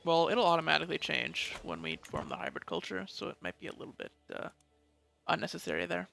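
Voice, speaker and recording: A man's voice: fairly high pitch, sing-song, fast, vocal fry, sharp tone, faint music behind. A man giving casual let's play commentary, thinking aloud and reading bits of game text, with some mild swearing.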